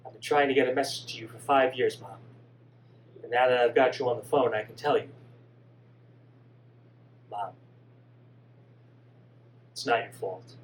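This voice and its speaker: A man voicing a remorseful young son who pleads softly in a lowered, quiet voice. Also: measured pace, long pauses, natural voice. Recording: distant mic with faint hum.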